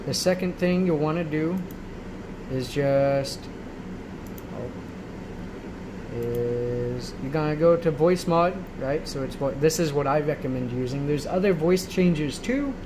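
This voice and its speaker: A man giving a casual, rambling software tutorial, often checking in as he goes, recorded at home on a handheld camera. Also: a little high. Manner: rambling, checks in with frequent "right?". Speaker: tutorial host. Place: indoors in a room at home. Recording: noisy amateur home recording. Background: clear steady background noise.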